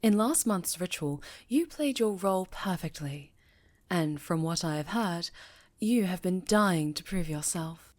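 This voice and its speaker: Stern witch mentor played by a woman, low voice, imperious and formal, dry studio sound, ASMR roleplay. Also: lively intonation, a little slow, a little mellow, no room sound. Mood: solemn, encouraging. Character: stern, exacting high enchantress witch, mentor to pupil. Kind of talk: fantasy ASMR roleplay acting.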